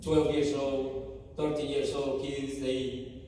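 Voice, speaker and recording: A man's voice: mid-range voice, level tone, warm tone, a little hiss or hum. Guatemalan man in a distant echoey hall. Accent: Guatemalan Spanish. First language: Spanish. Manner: halting, many fillers and restarts. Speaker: mission team member giving a church report. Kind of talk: informal church testimony. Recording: echoey church hall from far off.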